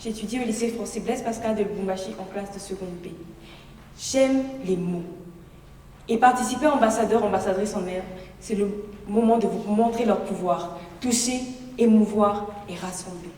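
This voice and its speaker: A woman's voice: mid-range voice, moderate pace, a little mellow. A fifteen-year-old girl from the Democratic Republic of the Congo introducing herself with poise as a contest speaker. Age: teenager of 15. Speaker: student speaker in a speech contest. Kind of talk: formal self-introduction. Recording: echoey hall, distant voice.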